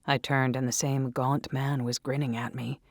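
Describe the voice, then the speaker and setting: A woman's voice: very deep voice, level tone, normal speed, dry recording, studio quality. A woman narrating a first-person story in a dramatic, intimate and intense manner.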